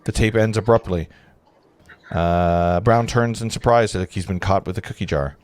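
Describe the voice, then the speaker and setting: A man's voice: deep voice, moderate pace, a little mellow. A man narrating screenplay directions at a casual table read, informal, stumbling and breaking off to comment. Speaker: narrator of stage directions, host.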